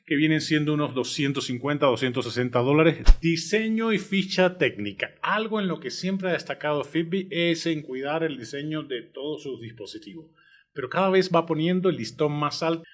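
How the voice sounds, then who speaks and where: A man with a Cuban accent walks through a gadget review in a relaxed, conversational way, clearly enjoying it. His voice sits a bit on the high side. He sounds like he's recording in his own home studio. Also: normal speed. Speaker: tech reviewer. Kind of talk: product review.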